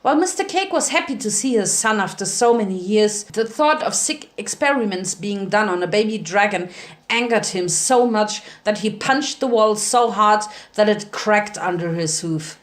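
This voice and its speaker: A woman's voice: medium pitch, lively intonation, normal speed. Woman narrating a dramatic story. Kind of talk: dramatic reading of fan fiction.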